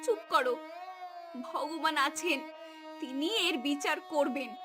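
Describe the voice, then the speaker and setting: A poor, troubled village wife, voiced by a woman in a cartoon drama. Her voice is extremely high and pleading, delivered with melodrama over clear background music. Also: takes it slowly. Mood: gently consoling.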